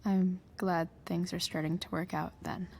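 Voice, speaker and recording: A woman playing a guarded, shaken teenage girl in a video game, halting and subdued. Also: lowish voice, little pitch movement, darkish tone, slight background noise, lightly acted. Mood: awkward, polite. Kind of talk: naturalistic video game acting.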